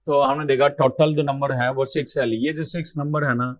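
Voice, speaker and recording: A man, slightly hoarse, teaching exam math problems step by step, repeating numbers as he works and asking himself questions. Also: medium pitch, fairly even intonation, a little fast, dry recording. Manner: explains aloud while writing, repeats numbers, asks and answers his own questions. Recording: dull lo-fi sound.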